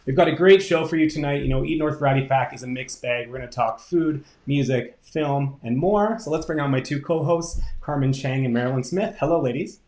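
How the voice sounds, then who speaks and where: A man's voice: medium pitch, brisk, few pauses, a little mellow, roomy sound. A man co-hosting a casual chat show from home over a video call, upbeat and chatty. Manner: upbeat and chatty, laughs often. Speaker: co-host.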